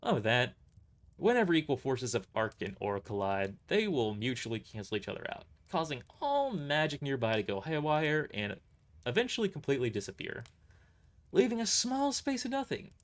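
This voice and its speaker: A man's voice: medium pitch, wildly swooping pitch, moderate pace, voice pushed up. A man voicing a cocky, brash mercenary squad leader in an audio drama, boastful, casual and dismissive.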